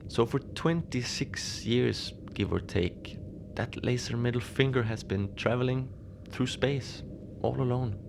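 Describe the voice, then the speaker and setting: A man playing a mild, unbothered middle-aged station manager in a cozy audio drama, confiding in a halting, rambling, understated way. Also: mid-range voice, vocal fry, background noise. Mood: musing, mild. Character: mild, unbothered lone Antarctic night-shift station manager. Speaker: podcast fiction narrator, lone facility manager on radio.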